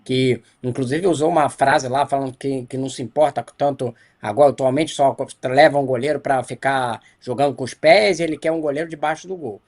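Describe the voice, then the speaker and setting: A man's voice: mid-range voice, fairly quick, few pauses, a little mellow. Critical Brazilian sports journalist, male. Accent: Brazilian Portuguese. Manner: analytical, critical, frequent tag questions. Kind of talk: studio debate analysis.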